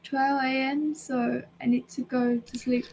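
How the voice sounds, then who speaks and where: High-voiced young woman, slow talk. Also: fairly even intonation, vocal fry, a little mellow, slight background noise, low-quality recording. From Vietnam. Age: young adult. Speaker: chat partner. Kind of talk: video chat small talk. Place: indoor room.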